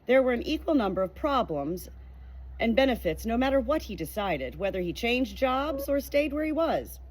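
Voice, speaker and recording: A woman's voice: a little high, very animated, moderate pace, a little mellow, rough recording. Earnest woman reading a faith book aloud. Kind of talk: reading a nonfiction book aloud with personal reflection.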